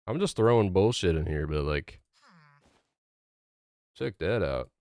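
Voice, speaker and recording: A man's voice: sits low, lively intonation, a little slow, a little mellow, dry recording, studio quality. A young man streaming a video game, chatting casually with viewers in a rambling, laid-back way full of fillers. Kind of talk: casual livestream chat while gaming.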